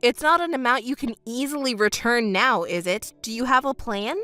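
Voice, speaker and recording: A woman's synthetic voice playing a scornful young woman confronting her cheating ex with sharp, cutting retorts in an audio drama. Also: fairly high pitch, normal speed, faint music behind.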